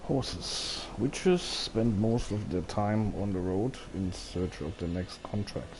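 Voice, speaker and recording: A man's voice: lowish voice, slow pace, a little mellow, a little hiss or hum. A man giving casual let's play commentary, muttering wry asides and reading game text aloud. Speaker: gamer playing and commenting.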